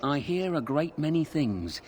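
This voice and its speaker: An actor playing a sly, chummy street thief in a video game, cheeky and ingratiating. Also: male voice, fairly high pitch, big pitch swings, a little slow, darkish tone. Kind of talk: video game dialogue acting.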